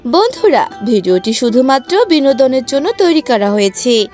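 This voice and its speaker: A woman's voice: high voice, very animated, normal speed, darkish tone, soft background music. A woman giving scripted narration for a cartoon story.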